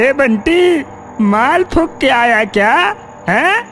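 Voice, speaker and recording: A performer playing a jumpy, teasing young male gang sidekick in an animated horror story. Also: high voice, slight background noise, heavily acted.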